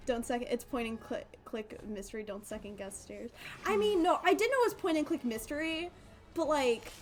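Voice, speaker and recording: Girl streaming a game, chatty and rambly, repeats herself, bit high pitched, faint music behind. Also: normal speed. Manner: playful, rambling, repeats phrases. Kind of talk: casual live stream chat.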